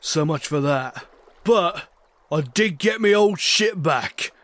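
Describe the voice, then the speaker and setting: A man's voice: a little high, expressive, slow, fairly bright tone, no room sound. A man voicing a swashbuckling pirate captain who tells his sea tales in salty, theatrical pirate talk. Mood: reflective storytelling. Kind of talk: dramatic scripted storytelling with voice acting.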